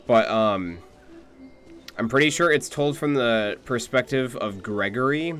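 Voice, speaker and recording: A young man livestreams a game with music faintly behind him. His voice sits at a middle pitch, and he talks casually and haltingly, thinking aloud. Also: fairly bright tone. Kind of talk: casual stream chat.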